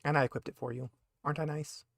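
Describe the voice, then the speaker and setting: A man's voice: fairly high pitch, steady tone, fast talker, gravelly, dry recording, clean studio sound. A man casually running an online tabletop roleplaying game as game master, with a teasing, joking manner.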